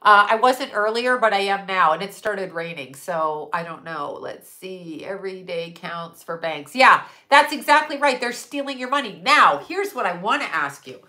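A woman's voice: mid-range voice, lively intonation, moderate pace, hardly any pauses, sharp tone. A middle-aged woman hosting a live stream from a room at home, ranting bluntly with lots of swearing, her nose stuffy, picked up by a webcam at desk distance. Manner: blunt, profane, emphatic with hand gestures. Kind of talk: casual live-stream rant.

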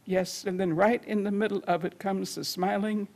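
An older woman in her seventies reads poetry aloud to a small audience. Her voice is gravelly and creaky, at a middling pitch, as she stands at a library lectern. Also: steady tone, normal speed, a little mellow. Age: older, around 70s. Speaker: poetry reader. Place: library meeting room at a lectern.

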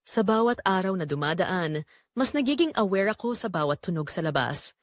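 A woman narrating a scripted first-person story in a confessional, dramatic way with deliberate phrasing. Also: on the low side, expressive, no room sound.

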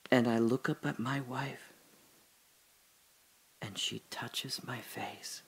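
An actor playing a dying older father, telling his memories in a halting, breathy dramatic monologue that drops to whispers. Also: mid-range voice, steady tone. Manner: halting, breathy, emotional, many pauses and restarts. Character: dying old father recalling his daughter's birth.

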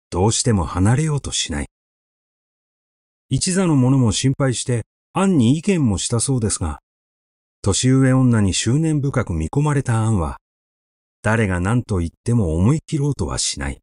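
Man reading a story with lively intonation. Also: medium pitch, no room sound, clean studio sound. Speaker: audiobook reader.

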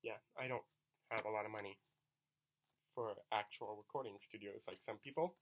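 A young man with a cold chatting excitedly through a toy unboxing at home, recorded on a camera mic. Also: lowish voice, moderate pace. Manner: excited, chatty, self-deprecating. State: coming down with a cold. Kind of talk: casual unboxing commentary. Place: small home room, bedsheet backdrop.

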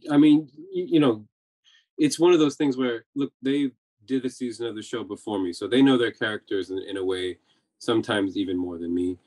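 A man's voice: lowish voice, brisk, a little mellow. A man in his forties, a TV director, talking casually on a video call from home, thinking aloud with restarts. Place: home room.

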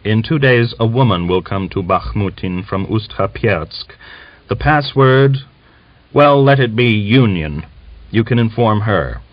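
A man's voice: lowish voice, expressive, a little slow, no room sound. A man voicing an earnest, anxious, formal army officer in an audiobook novel. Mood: urgent, polite. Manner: formal, emphatic, persuasive. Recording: dull lo-fi audiobook recording.

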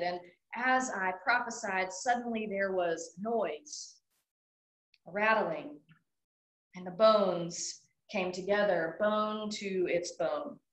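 A woman leading morning worship reads scripture at an unhurried, deliberate pace. Her voice sits at an ordinary middle pitch. The sound rings through a roomy, reverberant hall. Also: slight background noise. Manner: slow, measured reading. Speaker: worship leader. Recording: echoey hall.

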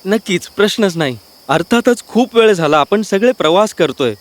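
A man voicing a good-natured, dutiful younger prince in an animated fairy tale, earnest and polite. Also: high-pitched, normal speed. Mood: eager, cheerful.

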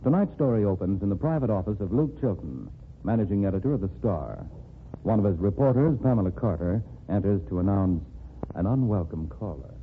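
A man announcing and setting scenes in a formal, measured 1940s radio manner. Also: on the low side, expressive, slight background noise. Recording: tinny old radio recording with faint music.

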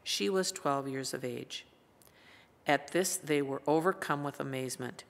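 A woman in her seventies with an American accent reads scripture in a formal, measured manner. Her voice is low and creaky, carried by the long reverb of a church hall. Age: 70s. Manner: measured, reading from a page. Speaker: lay scripture reader. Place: church pulpit indoors. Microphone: gooseneck lectern microphone.

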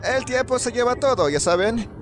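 A man's voice: very high voice, animated, normal speed, background noise. A man with a Latin American Spanish accent voicing an eccentric, chatty museum owner in a game dub, effusive and rambling. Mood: effusive, apologetic. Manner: effusive, rambling, stammering repeats. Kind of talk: video game fan-dub acting.

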